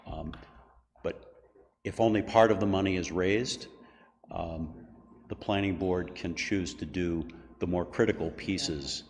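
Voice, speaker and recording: A man with a very low voice explains options to a town committee, hedging and filling gaps with ums as he goes. He is recorded in a town hall with a long reverb and faint noise underneath. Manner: measured, hedging, with many fillers. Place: town hall meeting room.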